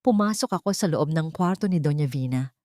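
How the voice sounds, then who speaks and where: A woman's voice: sits low, lively intonation, brisk, darkish tone, no room sound, studio quality. A woman storyteller reading a scripted, dramatic first-person narration.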